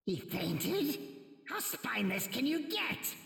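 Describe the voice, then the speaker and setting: A woman voicing a haughty, menacing spider demon in an anime game, sneering theatrically in a gravelly voice. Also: fairly high pitch, very animated, slow, exaggerated voice. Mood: scornful. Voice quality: gravelly, creaky. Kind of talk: English anime game dub. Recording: echoey, reverberant, distant from mic.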